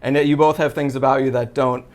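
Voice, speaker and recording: A man's voice: medium pitch, steady tone, fast. A man working as an entertainment interviewer, asking casual, winding questions in a tentative, conversational way.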